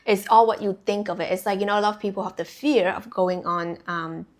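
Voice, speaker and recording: A young adult woman from Vietnam talks to her viewers as a spiritual coach, her pitch sitting in a middle range. She rambles casually, circling back with fillers and restarts, and laughs easily between thoughts. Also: steady tone, fairly quick. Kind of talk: casual intuitive reading to camera.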